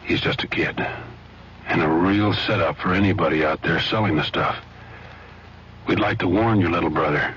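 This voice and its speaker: Deep earnest announcer. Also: male voice, normal speed, pauses often, a bit bright, slightly rough. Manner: earnest, direct. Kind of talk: public service announcement. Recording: muffled old radio broadcast.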